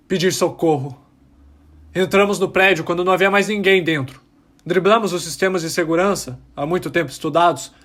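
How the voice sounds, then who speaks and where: An actor playing an embittered alien rebel miner, testifying with impassioned, dramatic pauses in an audio drama. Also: male voice, high-pitched, fairly bright tone. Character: embittered male alien rebel miner testifying about his oppressed people.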